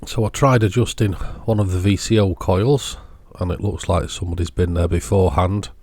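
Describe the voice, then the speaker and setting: A man's voice: low voice, fairly even intonation, normal speed, darkish tone, no room sound. A man giving hands-on repair commentary for a video, thinking aloud in an unscripted way as he works. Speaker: electronics repair video creator.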